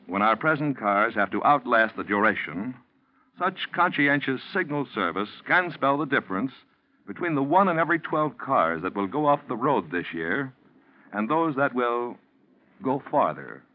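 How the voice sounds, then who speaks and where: A male announcer with an American accent reading sponsor copy in a polished, emphatic 1940s radio style. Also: mid-range voice. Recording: muffled 1940s radio recording.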